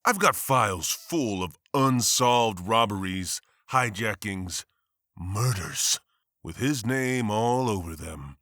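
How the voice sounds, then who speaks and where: A man's voice: fairly low pitch, animated, takes it slowly, bright, forceful tone, no echo, studio quality, heavily acted. A man playing a driven, bitter district attorney who speaks sardonically and heatedly. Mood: sardonic.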